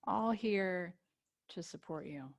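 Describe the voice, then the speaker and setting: A woman teaching yoga nidra, guiding a relaxation meditation with gentle invitations and long pauses. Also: lowish voice, creaky.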